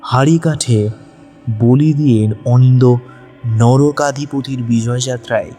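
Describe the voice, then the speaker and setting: A man's voice: mid-range voice, steady tone, unhurried, mellow. A man narrating a scripted dramatic audio story. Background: background music.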